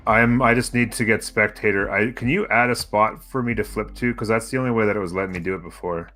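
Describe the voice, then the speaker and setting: A man chatting casually during a multiplayer game stream, hesitant and thinking out loud. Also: fairly low pitch, steady tone, quick pace.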